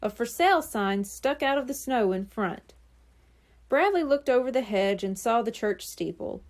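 A woman reading a children's storybook aloud in an expressive, animated way, acting out the dialogue. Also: a little high, normal speed. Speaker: audiobook reader.

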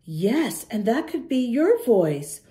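Lady doing kids read aloud, really mellow voice, super lively up and down, encouraging, talks right to the little ones. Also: on the high side, takes it slowly. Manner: encouraging, addresses young listeners directly with questions. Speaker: children's storytime reader and host. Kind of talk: expressive picture-book read-aloud to young children.

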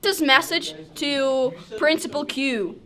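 A woman's voice: high voice, slow, a bit bright. A teenage girl from Ukraine, an exchange student, joking around in a school TV interview. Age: teens. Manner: playful and boastful.

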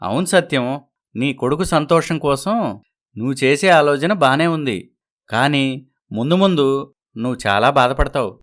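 A man playing a regretful elderly village father in an animated moral story, gravely giving advice. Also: medium pitch, moderate pace, pauses often, lightly acted.

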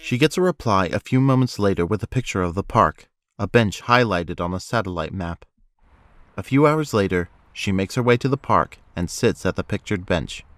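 A man's voice: low voice, expressive, normal speed, a bit bright, no room sound. A man reading scripted narration for an audio drama.

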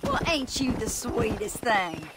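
A cackling frontier horse thief. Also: female voice, a little high, sing-song, takes it slowly. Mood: teasing. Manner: cackling, teasing, drawling. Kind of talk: video game cutscene acting. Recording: game audio with outdoor ambience noise.

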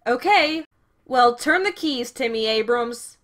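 A woman's voice: on the high side, expressive, slow pace, a bit bright. A woman playing a brash, blunt, foul-mouthed mechanic in an audio drama. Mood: matter-of-fact.

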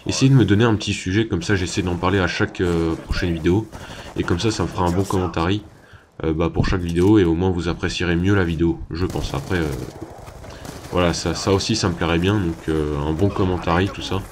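A young man with a very low voice, talking casually over his own gameplay footage. He hesitates often, fills pauses and restarts his sentences as he talks to his viewers. A faint hiss sits under his voice. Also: steady tone. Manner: hesitant, many fillers and restarts. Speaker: gaming YouTuber.

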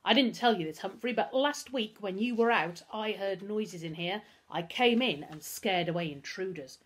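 A woman's voice: medium pitch, normal speed, husky, lightly acted. A woman voicing a suspicious, bullying man who snarls accusations and threats in a children's audiobook. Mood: disgusted, bitter.

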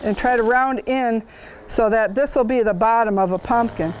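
A woman's voice: on the high side, moderate pace. A woman with an American accent, giving encouraging, casual hands-on instruction as a carver. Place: outdoor yard by workshop. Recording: loud outdoor power-tool noise.

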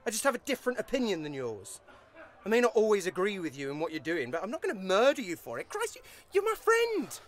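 A man's voice: high-pitched, huge pitch swings, fast talker. An actor playing a nervy, blunt British man under suspicion, stammering and colloquial in a naturalistic audio drama. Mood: rattled, indignant.

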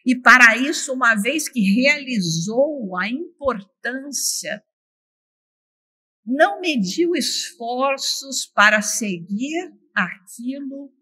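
Passionate woman lecturing, bright tone. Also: high-pitched, slow, husky. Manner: enthusiastic, expressive, with frequent restarts. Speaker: opening speaker at a spiritist congress. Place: large dark auditorium stage at a lectern. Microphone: lectern microphone.

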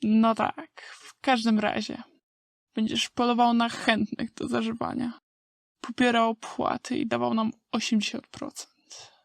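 A woman playing an old male wolf in a Polish audio drama, a smooth, contemptuous schemer with a creaky voice and an insinuating manner. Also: a little high, little pitch movement, measured pace, dry recording, clean studio sound. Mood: businesslike, coaxing.

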